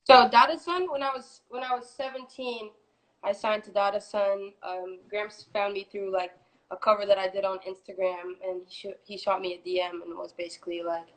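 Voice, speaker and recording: Young female singer guest, twenties, easygoing and talkative, medium pitch. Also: normal speed, rough recording. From Florida, US. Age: 20s. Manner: relaxed, chatty, many fillers. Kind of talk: casual interview.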